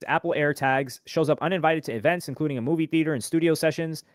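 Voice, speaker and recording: A man reads court papers aloud fast, then breaks off to comment casually. His voice sits at a middle pitch. The recording is dry and close. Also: no echo. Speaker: streamer reacting to court filing.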